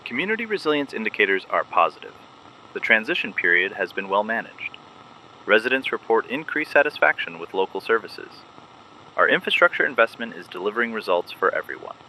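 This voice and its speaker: Man as a bright, forceful underground resistance broadcaster. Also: lowish voice, very animated, a little fast, a little hiss or hum, full character voice, low-quality recording. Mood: measured, warning. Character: male resistance broadcaster, guarded, firm, methodical. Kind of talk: scripted dystopian radio drama broadcast.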